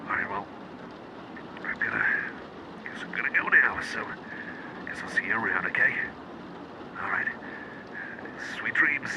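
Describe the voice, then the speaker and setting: A man's voice: low voice, gravelly, background noise, exaggerated voice. A man playing a shy, friendly cyborg chum in an ASMR roleplay, hesitant and rambling, often apologising and repeating himself. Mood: bashful, flattered. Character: shy, friendly male cyborg chum, eager but self-doubting. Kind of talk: ASMR personal-attention roleplay, soft one-sided conversation.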